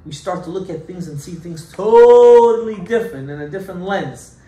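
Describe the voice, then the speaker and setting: A man giving an animated Torah class as a rabbi, emphatic and full of rhetorical questions, mixing Hebrew terms into his English. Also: high voice, normal speed, lo-fi sound. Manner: emphatic, rhetorical questions, acts out objections loudly. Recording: echoey room with faint background noise.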